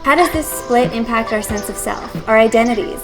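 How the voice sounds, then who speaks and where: A woman narrates a scripted documentary in a fairly high voice. Her delivery is measured and questioning. Music plays clearly behind her. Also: rough recording. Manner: measured, posing reflective questions.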